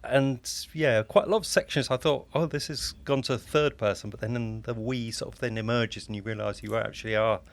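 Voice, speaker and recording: A man's voice: medium pitch, fairly even intonation, a little fast, gravelly, few pauses. A man interviewing a guest with considered, conversational questions.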